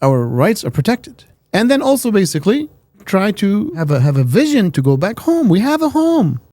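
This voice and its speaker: A man's voice: high voice, very animated, moderate pace, mellow, dry recording. A man co-hosting a talk show, arguing his opinions with emphasis and often repeating words as he builds a point. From America. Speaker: podcast co-host giving opinions. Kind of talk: casual debate chat.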